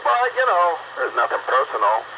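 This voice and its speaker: A man's voice: a little high, big pitch swings, moderate pace. A man telling stories casually over a two-way radio. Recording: dull, noisy two-way radio.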